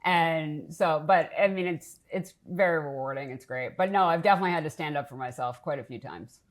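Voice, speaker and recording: A woman's voice: low-pitched, fairly quick. A middle-aged woman from the Boston area, a nonprofit leader talking candidly and with humor in an interview. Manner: candid, self-deprecating, upbeat. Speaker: nonprofit CEO, guest. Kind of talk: casual interview talk.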